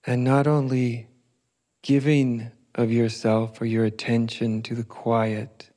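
A man leading a guided meditation as a teacher, speaking gently in short, spaced phrases with long pauses between them. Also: medium pitch, level tone.

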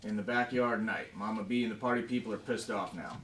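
A man's voice: lowish voice, steady tone, fast, fairly bright tone. A man reading stage directions as scripted narration at a table read. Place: conference room around a long table. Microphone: camera mic across the room. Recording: echoey room, distant mic, faint noise.

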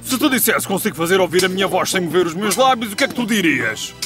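A man with a European Portuguese accent playing a knowing, jovial Greek warrior friend in a dubbed animated film, with a confident showman's manner. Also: high-pitched, quick pace. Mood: teasing. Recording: film soundtrack with music underneath.

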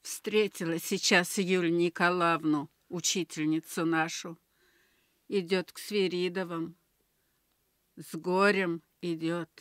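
A woman's voice: lowish voice, steady tone, takes it slowly, clean tone, dry recording. A woman playing a sorrowful, plain-spoken old village grandmother in a Soviet radio play. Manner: lamenting, plain-spoken storytelling. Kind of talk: Soviet radio drama acting.

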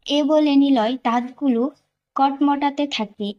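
A woman's voice: high voice, slow, a little mellow, dull audio. A woman narrator reading a scripted romantic story.

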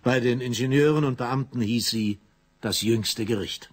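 A man's voice: mid-range voice, moderate pace. A man narrating a radio drama in a dramatic, scripted style. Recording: clear background machinery noise.

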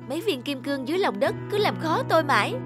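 A woman's voice: high voice, sing-song, quick pace. A woman voicing a whiny, discontented pandan tree in a children's cartoon fable, complaining with dramatic exclamations. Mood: grumbling, annoyed. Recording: music behind the voice.

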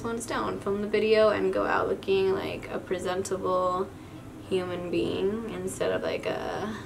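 A young adult woman with a raspy, tired voice, chatting casually and rambling in a self-deprecating way as she does her makeup. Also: medium pitch. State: tired, short of sleep. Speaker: beauty vlogger. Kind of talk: casual makeup tutorial chat. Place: small indoor room, plain wall. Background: music.

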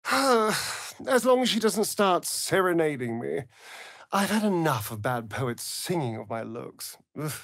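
An actor playing a vain, sardonic, centuries-old vampire spawn in a video game, with an arch, drawling, flamboyant delivery. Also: male voice, on the high side, sing-song, slow pace, gravelly, a bit bright, no room sound, clean studio sound, full character voice. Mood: disdainful. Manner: arch, drawling, swinging from purrs to shrieks. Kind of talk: theatrical video game character acting.

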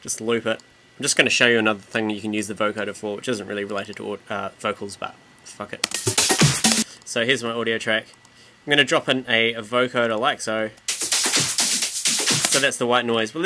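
A man giving a casual, informal software tutorial as a music production tutor. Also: lowish voice, steady tone, a little fast. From New Zealand. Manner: informal, mildly sweary. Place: indoors, voice-over on screen recording.

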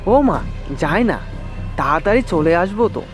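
A man's voice: sits high, lively intonation, normal speed. A man voicing an eager village youngster who pleads in exclamations, in an audio horror story. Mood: eager, pleading. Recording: music under the voice.

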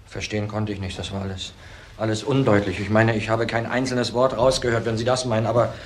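An actor playing an agitated, evasive husband in his thirties in a crime drama, speaking haltingly and repeating words. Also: fairly low pitch, flat delivery, slight background noise, natural voice. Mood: shaken. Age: 30s. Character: young widower husband, agitated, evasive under questioning. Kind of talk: German crime drama acting.

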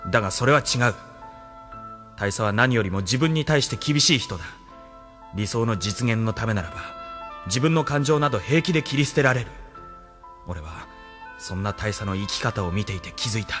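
Music sits behind a low male voice: a cynical, ambitious military officer who clawed his way up from the slums. He speaks with cool, mocking self-assurance, in polished anime audio drama style. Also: expressive, moderate pace, pauses often, a bit bright. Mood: intense, resolute.

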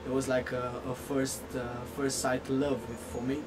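A man in his twenties with a Romanian accent answering interview questions in a halting way, often repeating words. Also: flat delivery, over music. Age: 20s. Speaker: assistant football coach being interviewed. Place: outdoors in front of bushes. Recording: noisy outdoor recording with vehicle rumble.

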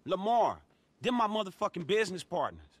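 A man's voice: high voice, sing-song, brisk. A man playing a streetwise, exasperated, profane American hustler in a video game cutscene, talking in casual slang. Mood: bewildered. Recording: music behind the voice.

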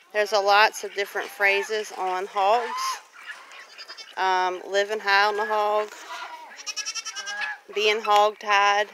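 A woman's voice: mid-range voice, a bit bright. A woman from Louisiana talking casually and reflectively in a plain-spoken, rambling way, like a homestead vlogger on a walk. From Louisiana, United States. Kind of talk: casual walk-and-talk monologue. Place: outdoors on a grassy farmyard. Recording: outdoor noise, farm animal sounds.

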